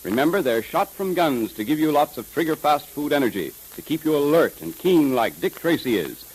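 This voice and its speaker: A man's voice: on the high side, big pitch swings, brisk, darkish tone, breathy edge, low-quality recording. A man with an American accent announcing in emphatic, polished 1930s radio style, selling as he goes. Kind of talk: 1930s radio announcing, scripted sales pitch. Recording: hissy 1930s radio recording.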